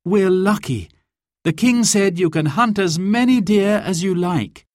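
A man voicing a gentle, earnest noblewoman in a storybook tale. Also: sits high, animated, measured pace, no room sound, studio quality, pitched above the performer's own voice. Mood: contented.

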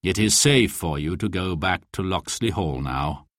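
A man voicing a calm, stern and regal knight-king in a storybook. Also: low-pitched, measured pace, dry recording, studio quality, pitched below the performer's own voice.

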